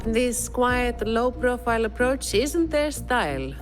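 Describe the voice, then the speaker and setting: Measured, commanding woman fighter. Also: on the high side, very animated, music in the background. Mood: analytical. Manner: assertive, deliberate. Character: cool, commanding woman fighter, shrewd and determined. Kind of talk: video game voice acting.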